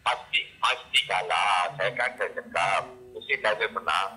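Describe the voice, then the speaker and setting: Male, low and very sharp raspy voice, halting informal talk on a rough call recording. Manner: halting, repetitive short phrases. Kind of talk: informal phone conversation. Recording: harsh, rough secretly recorded phone call.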